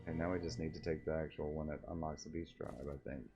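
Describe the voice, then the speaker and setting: A man giving casual gameplay commentary as a streamer, quietly, half thinking aloud. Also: very deep voice, level tone, fast, lo-fi sound, soft background music. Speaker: game streamer.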